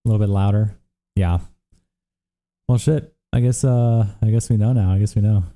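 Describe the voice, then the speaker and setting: Casting: young male streamer, tired, profane and chatty, low pitch, very dark mellow tone. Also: fairly even intonation. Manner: casual, profane, reacts to chat with quick asides. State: worn out from days of streaming. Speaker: livestream host. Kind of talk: casual livestream chat reading viewer comments.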